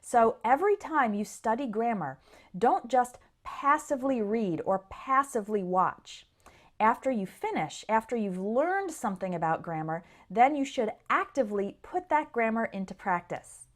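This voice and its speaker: A woman teaching an English lesson in a fairly high voice. Her intonation is very animated, rising and falling to stress key points. She explains step by step and addresses learners directly. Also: moderate pace. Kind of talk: instructional lesson to camera or listeners.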